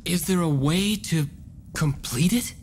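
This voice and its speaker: Casting: determined young monster hunter, lightly high voice, earnest game-hero delivery, long reverb. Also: male voice, unhurried, a little hiss or hum. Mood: concerned. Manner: earnest, questioning. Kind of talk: video game cutscene acting. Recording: reverberant hall, loud game music. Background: music.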